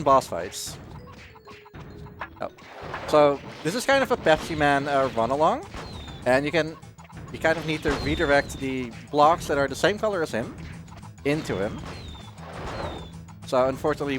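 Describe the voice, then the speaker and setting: A man giving casual, jokey live commentary as he plays through a video game. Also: mid-range voice. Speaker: speedrunner. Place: live gaming marathon event. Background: game music.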